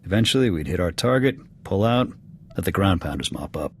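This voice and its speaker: Deep, gruff American military officer. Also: male voice, expressive, brisk, a little hiss or hum. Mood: matter-of-fact, resolute. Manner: clipped, blunt military delivery. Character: gruff, proud alien Cathar military officer, blunt veteran sniper. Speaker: soldier serving as second to his commander. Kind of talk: video game dialogue acting. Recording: background music under the dialogue.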